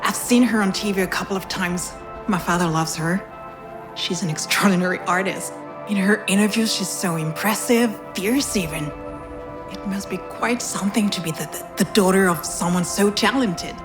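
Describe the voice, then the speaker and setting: A woman playing a polite, slightly awkward hospital doctor in a visual novel game, speaking in a measured professional way. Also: mid-range voice, music in the background, close to an everyday voice. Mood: courteous, admiring. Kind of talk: naturalistic visual novel dialogue.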